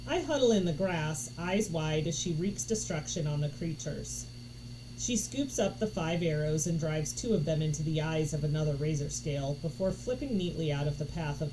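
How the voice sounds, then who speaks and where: A woman reading a story aloud in an expressive narration style. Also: low-pitched. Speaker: audiobook reader. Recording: noticeable background noise.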